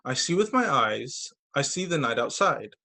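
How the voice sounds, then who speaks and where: A man reading children's picture books aloud as a story time teacher, in an expressive, sing-song storytelling manner with short asides, over a video call. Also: mid-range voice, moderate pace.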